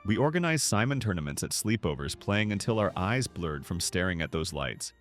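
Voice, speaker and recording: A man narrates a scripted documentary in a fairly low voice. His intonation moves widely, yet the delivery stays measured and polished like practiced storytelling. Music plays behind him. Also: very animated.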